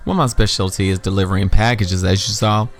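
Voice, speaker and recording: Audio story love interest, male, laid-back and gentlemanly, dropped pitch, put on by a man, light music behind. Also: steady tone, brisk. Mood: relaxed, flirting. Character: smooth, laid-back, courteous male suitor who fixes cars.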